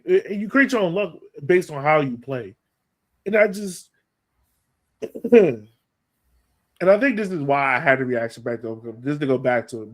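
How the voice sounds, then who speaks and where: Man, slightly high pitch, casual podcast host, match breakdown, animated delivery. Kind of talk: casual match analysis chat.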